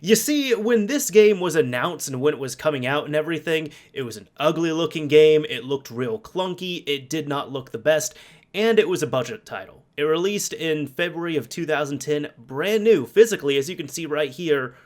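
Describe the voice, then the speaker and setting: A man's voice: on the high side, lively intonation, moderate pace, darkish tone. A man talking casually to viewers as a video game YouTuber, conversational and emphatic, repeating points for stress. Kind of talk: casual video commentary with personal anecdotes.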